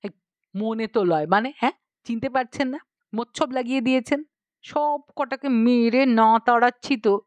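A woman's voice: on the high side, normal speed, dry recording, natural voice. Woman voicing an irritable, bossy village uncle. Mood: angry. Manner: scolding, interrogating.

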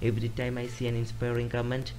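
A man's voice: mid-range voice, completely flat delivery, quick pace, darkish tone. A man in his twenties from Kerala whose first language is Malayalam, chatting casually as he answers viewer questions over mobile gameplay. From Kerala, South India. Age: 20s. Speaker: gaming YouTuber. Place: indoors, playing on a phone.

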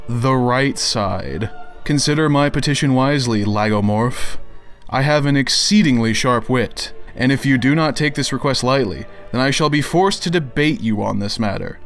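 A man's voice: mid-range voice, normal speed, fairly bright tone, soft background music, lightly acted. A man doing a dramatic reading as a pompous, indignant internet crank with an overly formal, theatrical delivery. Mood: haughty, menacing. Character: pompous, indignant male internet crank issuing grandiose demands. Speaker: narrator of read-out messages. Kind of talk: dramatic reading of written messages.

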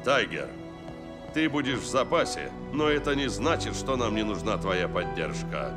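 Low-voiced stern coach. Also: male voice, very animated, normal speed, over loud music. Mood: firm. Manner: firm, authoritative. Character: stern male football coach, cartoon. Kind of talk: dubbed cartoon acting.

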